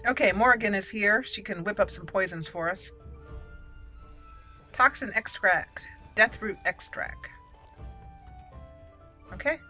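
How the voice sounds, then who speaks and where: A woman gives casual gaming commentary in a bright, crisp-edged voice at middling pitch. She is chatty and playful, thinking aloud while game music plays behind her. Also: a little slow, long pauses. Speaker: gaming channel host.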